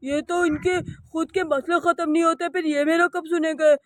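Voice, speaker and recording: A grumpy male house ghost in a cartoon, voiced by a woman, forever exasperated and scolding. The voice sits very high and sounds close and dry, with no room around it. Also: level tone, moderate pace, dry recording. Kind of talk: cartoon voice acting.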